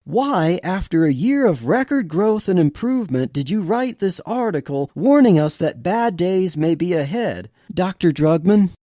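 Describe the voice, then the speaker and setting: A man's voice: high-pitched, animated, a little slow, hardly any pauses, warm tone, no room sound. A man voicing a polished woman news anchor in an audio story, with formal broadcast delivery.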